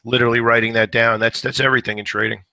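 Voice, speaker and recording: A man hosting a stock trading show, giving live market commentary in a conversational way, a little hoarse today and repeating words as he thinks. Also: medium pitch, flat delivery, very fast, sharp tone, no echo. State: slightly hoarse.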